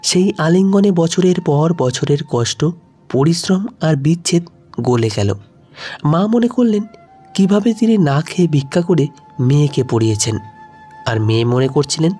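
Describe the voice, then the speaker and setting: Male narrator for dramatic scripted tale; slightly high voice, animated, music underneath. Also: breathy edge. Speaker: storyteller.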